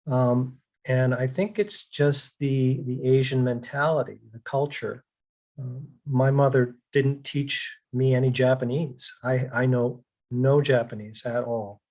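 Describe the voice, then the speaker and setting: A man's voice: medium pitch, a little mellow. A man speaking as a panelist on a video call, earnest and plainspoken, with some hesitation.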